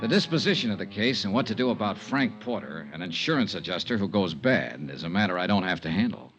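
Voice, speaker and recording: A man's voice: lowish voice, expressive, fast talker, dull audio, music in the background. An actor in a 1950s radio drama playing a hard-boiled, principled male insurance investigator who speaks and narrates in a terse, dry, clipped way. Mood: somber narration.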